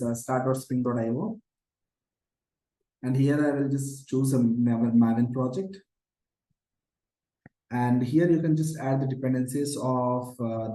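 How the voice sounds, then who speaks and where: A hoarse man teaching a live online coding class, explaining patiently with many fillers like "okay" and "uh". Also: medium pitch, dark tone. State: hoarse from shouting. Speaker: programming instructor. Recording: roomy echo.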